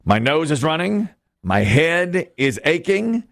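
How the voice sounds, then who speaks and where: A man's voice: fairly high pitch, very animated, takes it slowly, vocal fry, fairly bright tone. A man hosting a radio word game, joking with his co-hosts, laughing often and quizzing callers. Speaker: radio show host.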